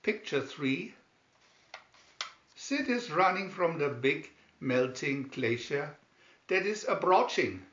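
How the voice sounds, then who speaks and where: A man reading a children's picture-story booklet aloud in scripted narration, deliberately and with pauses. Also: on the high side, animated, a bit bright. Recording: dull lo-fi, roomy echo.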